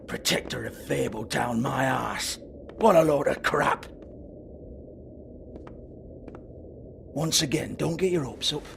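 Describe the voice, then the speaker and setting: A man's voice: a little high, vocal fry, fairly bright tone, a little hiss or hum. A man playing a foul-mouthed, irritable British strip club owner in a video game, sarcastic and exasperated as he swears. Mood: bitter, indignant.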